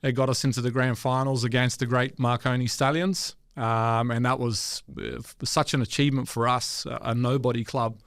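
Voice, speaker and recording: Smooth-voiced Australian man, reflective. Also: medium pitch, little pitch movement, normal speed, few pauses, dry recording, studio quality. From Western Sydney, Australia. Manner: reflective, many fillers and restarts. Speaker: guest, former goalkeeper. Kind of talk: casual interview.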